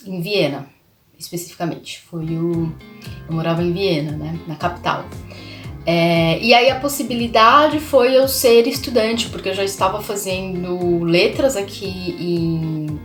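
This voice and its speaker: Chatty young woman vlogging in echoey room. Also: medium pitch. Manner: chatty, many fillers and tag questions. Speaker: language channel host. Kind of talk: casual vlog talk to viewers.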